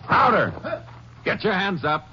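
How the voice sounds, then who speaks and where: An actor playing a calm, shrewd Texas Ranger in a measured, assured, plain-spoken way, in 1950s radio western style. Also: male voice, high voice, background noise. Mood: shouting command. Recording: muffled old radio recording with music.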